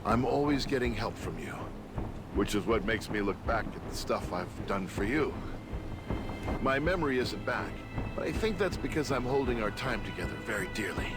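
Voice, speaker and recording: An actor playing a gentle, earnest male companion in a fantasy video game. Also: medium pitch, animated, moderate pace, lightly acted. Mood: tender gratitude. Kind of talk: video game cutscene acting. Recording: very loud music behind.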